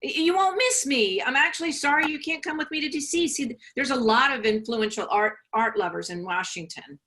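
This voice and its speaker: A woman's voice: high voice, fairly quick, pushed and bright. A woman playing a placating, self-absorbed mother with a career in law, in a staged reading of a play.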